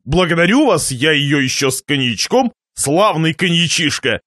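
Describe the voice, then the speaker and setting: A man's voice: a little high, animated, moderate pace, fairly bright tone, no room sound. A man voicing a jovial, gluttonous guest in a satirical story, pompous and shameless, savouring and lecturing expansively. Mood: contented, greedy. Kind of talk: dramatised reading of a satirical short story.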